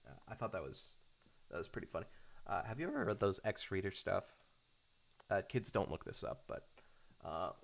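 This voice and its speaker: A man giving casual, unscripted fan commentary, halting often and repeating words while thinking aloud. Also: deep voice, sing-song, gravelly. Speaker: host of a cartoon fan channel.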